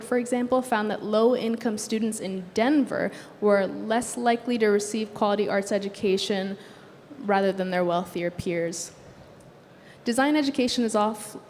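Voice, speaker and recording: A young woman designer, originally from Sudan with Arabic as her first language, giving an earnest, measured talk on a conference stage. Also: medium pitch, darkish tone. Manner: earnest, measured delivery with occasional fillers. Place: conference stage in a large hall. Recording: long hall reverb, faint background noise.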